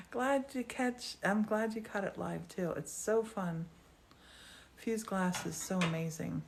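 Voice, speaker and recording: A woman's voice: medium pitch, slow, gravelly, pauses often, background noise. A woman hosting a live demonstration in a glass studio, chatting casually and with enthusiasm. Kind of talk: casual live-stream chat and commentary.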